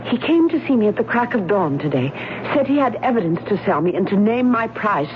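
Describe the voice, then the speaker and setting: A woman's voice: mid-range voice, big pitch swings, fairly quick, lightly acted. An actress playing a distraught, remorseful young woman of ancient Pompeii in an old-time radio drama. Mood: troubled. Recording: noisy, muffled old radio recording.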